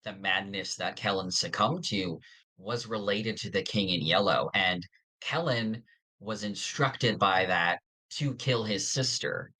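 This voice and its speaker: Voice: deep voice, unhurried, sharp tone, breathy edge, low-quality recording. A podcast co-host chatting informally in a casual fan discussion.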